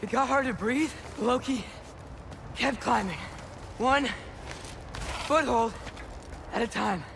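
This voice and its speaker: A man's voice: very high voice, slow pace, creaky, pauses often, noisy background, full character voice. A young man playing a determined, talkative teenage giant boy in a video game, casual and earnest. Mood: straining, determined. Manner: casual, earnest, talking to himself. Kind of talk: naturalistic video game acting.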